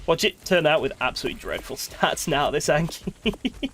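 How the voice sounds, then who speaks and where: A man's voice: a little high, expressive, moderate pace, a bit bright, slight background noise. A man giving casual live gameplay commentary as a streamer, chatty and thinking aloud, with wry, sweary grumbling. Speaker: game streamer.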